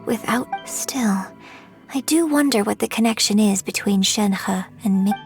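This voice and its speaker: A woman voicing a refined, gentle young opera performer in a video game, polite, measured and earnest. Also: medium pitch, faint music behind, close to an everyday voice. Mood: thoughtful, troubled.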